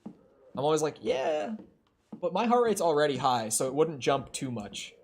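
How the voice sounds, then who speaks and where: A man's voice: fairly high pitch, very animated, moderate pace, a little mellow, husky. A young man streaming a horror game from home, chatting in a rambling, self-deprecating way with lots of restarts. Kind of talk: casual chat while playing a horror game. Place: home room. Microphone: streaming desk mic.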